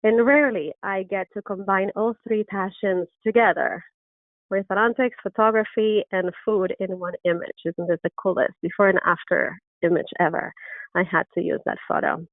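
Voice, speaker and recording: A woman's voice: mid-range voice, normal speed, no room sound. Argentine woman lecturing over tinny audio. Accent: Argentine Spanish. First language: Spanish. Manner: earnest, enthusiastic, with frequent ums and restarts. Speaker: orthodontist lecturer. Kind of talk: webinar presentation. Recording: dry, tinny phone-like webinar audio.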